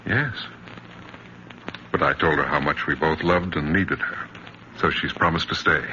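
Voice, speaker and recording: A soothing, devoted father in a vintage radio play. His voice is low and forward, earnest and reassuring, with the narrow crackle of an old broadcast. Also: measured pace, pushed and bright, exaggerated voice. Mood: gentle, coaxing. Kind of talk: 1950s radio drama acting. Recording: scratchy, band-limited vintage radio recording.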